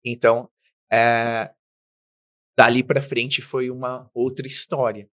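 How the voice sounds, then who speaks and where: A middle-aged man, a business guest in an interview, telling his life story with lively acted-out dialogue. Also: mid-range voice, takes it slowly. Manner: animated storyteller, acts out quoted dialogue.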